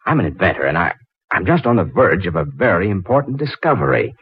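Casting: eager smitten inventor, 1940s radio drama, low husky voice, stammering. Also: male voice, very animated, quick pace, no room sound, exaggerated voice. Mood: flustered pleading. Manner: hesitant, muttering, stammering. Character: eager, flustered, smitten young male inventor. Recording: muffled old 1940s radio recording.

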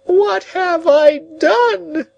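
A man voicing a heartbroken, despairing old woman who lives as a recluse, with slow, wailing, pleading cries. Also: sits high, expressive, gravelly, slightly rough, dull audio, pitched above the performer's own voice, exaggerated voice.

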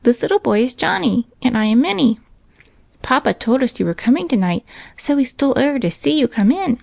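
A woman's voice: sits high, very animated, brisk, creaky, darkish tone, no room sound, pitched above the performer's own voice, heavily acted. A woman voicing an eager, trusting little girl in a storybook, earnest and coaxing. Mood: proud, eager. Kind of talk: storybook character voice.

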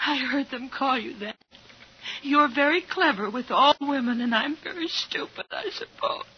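High-voiced, wounded young woman. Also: expressive. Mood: hurt, tearful. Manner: wounded, deliberate. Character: hurt, bitter, proud young woman rejecting a suitor. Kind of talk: 1950s radio acting. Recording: muffled, noisy 1950s radio recording.

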